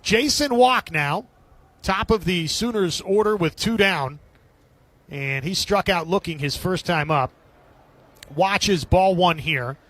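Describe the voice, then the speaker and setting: Male sports radio commentator, high voice, live baseball play-by-play in short clipped phrases. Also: slow, long pauses, a bit bright, dry recording.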